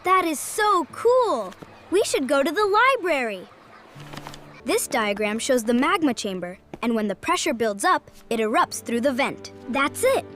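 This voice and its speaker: A woman's voice: high-pitched, very animated, a little slow, a bit bright, faint music behind, heavily acted. A woman voicing an eager, kind-hearted schoolboy in a children's cartoon story, earnest and exclamatory. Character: excitable, eager, kind-hearted schoolboy building a science project.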